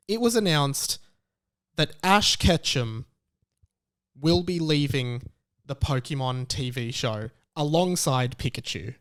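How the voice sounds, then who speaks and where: Clear-voiced young Australian man hosting a podcast. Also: on the high side, a bit bright, no echo, studio quality. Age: late 20s. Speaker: podcast host. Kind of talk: casual podcast monologue.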